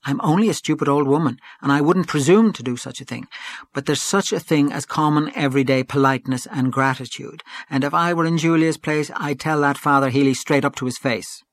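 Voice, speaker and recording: A man's voice: fairly high pitch, brisk, dry recording, close to an everyday voice. An indignant old aunt from a storybook, acted out by a man. The voice is fussy and emphatic, protesting with hurt pride. It is set close and dry. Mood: hastily protesting. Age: older.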